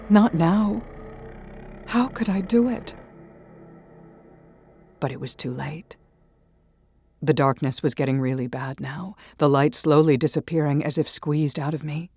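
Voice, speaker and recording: A woman reading a first-person horror story in a hushed, dreamy way that slips into whispers. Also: on the low side, a little hiss or hum. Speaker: narrator. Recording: soft music behind.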